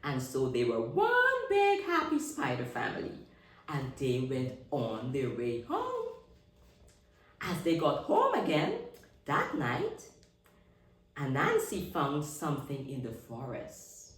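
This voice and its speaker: A woman telling a story expressively to young children, in simple, deliberate phrases with emphasis. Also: rumbling low, wildly swooping pitch. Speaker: children's read-aloud storyteller. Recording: echoey room, distant mic, faint noise.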